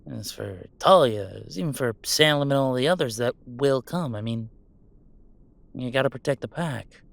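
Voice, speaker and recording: A man's voice: mid-range voice, animated, moderate pace, vocal fry, no echo. A man voicing a playful, self-deprecating werewolf streamer in a one-sided roleplay audio drama, full of teasing banter and dramatic sarcasm. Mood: sincere, modest. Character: wisecracking young male werewolf streamer, affectionate and self-deprecating.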